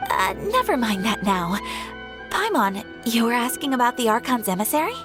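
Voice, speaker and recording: A woman's voice: fairly high pitch, very animated, moderate pace, loud music behind the voice. An actress playing a refined, courteous young noblewoman with a formal, poised, explanatory manner in a video game. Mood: composed, explanatory.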